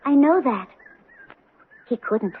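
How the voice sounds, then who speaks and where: An actress playing a wistful, troubled and quietly stubborn young bride-to-be, husky-voiced, in a 1970s radio drama. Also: female voice, high voice, animated, slow pace, noisy background. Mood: troubled, insistent. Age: young adult. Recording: muffled, phone-like vintage radio broadcast.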